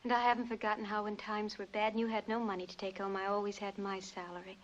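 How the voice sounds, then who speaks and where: An actress playing a timid young secretary. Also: female voice, medium pitch, little pitch movement, quick pace, natural voice. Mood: hesitant. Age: young adult. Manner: hesitant, soft-spoken. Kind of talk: 1940s film acting. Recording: dull, hissy old film soundtrack.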